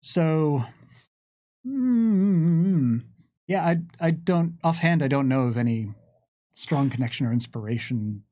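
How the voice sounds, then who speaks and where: A man's voice: a little high, animated, takes it slowly, a little mellow. A man chatting casually on a livestream Q&A, reading out questions and answering them in a hesitant, thinking-aloud way. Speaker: game lore panelist reading viewer questions.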